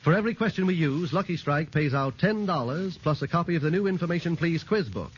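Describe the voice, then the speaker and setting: A male radio announcer delivering a scripted sponsor pitch in 1940s style on a vintage recording. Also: animated, quick pace, breathy edge, highs cut off.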